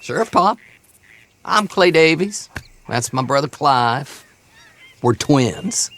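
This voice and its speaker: An actor playing a cocky, menacing backwoods horse dealer with a needling Southern drawl in a game cutscene. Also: male voice, fairly high pitch, lively intonation, slow, fairly bright tone, a little hiss or hum, heavily acted. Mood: mocking, menacing. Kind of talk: video game cutscene acting.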